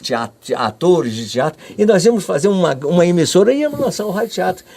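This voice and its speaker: An older man with a Brazilian accent recalling past events in an interview, halting and repeating phrases. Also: sits high, very animated, vocal fry, darkish tone. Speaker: interviewee, former radio artist. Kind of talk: spoken recollection in an interview.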